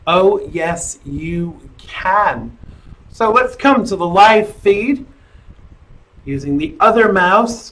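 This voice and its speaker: A man's voice: high-pitched, fairly bright tone, low-quality recording. A middle-aged man hosting a live-stream talk show, chatting in a rambling, jokey way with lots of fillers.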